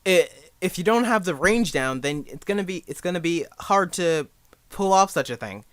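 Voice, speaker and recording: A man's voice: high voice, lively intonation, normal speed, fairly bright tone, no room sound. A young man giving a casual spoken tutorial in a rambling, jokey, self-deprecating way. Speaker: amateur voice actor giving beginner advice.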